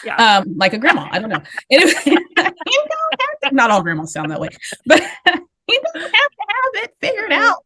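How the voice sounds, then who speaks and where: A woman's voice: high-pitched, wildly swooping pitch, moderate pace, pushed and bright. A woman hosting a casual group conversation, chatty and reassuring, laughing easily. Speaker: host. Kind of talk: casual video-call conversation.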